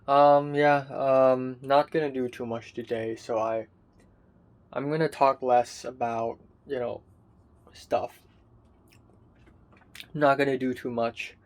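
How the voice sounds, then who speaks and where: A young man rambling through a casual video diary with many ums and restarts, his voice slightly raspy. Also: mid-range voice, fairly even intonation, takes it slowly, pauses often. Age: young adult. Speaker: vlogger.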